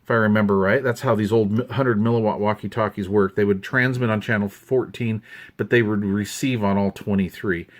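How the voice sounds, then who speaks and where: A man's voice: fairly low pitch, fairly even intonation, a little fast. A man in his fifties with a Western American accent, chatting in a rambling, conversational way as he shows off a hobby find. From United States, Utah. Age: 50s. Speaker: hobbyist video host. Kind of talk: casual hands-on show-and-tell. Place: indoors at a wooden desk.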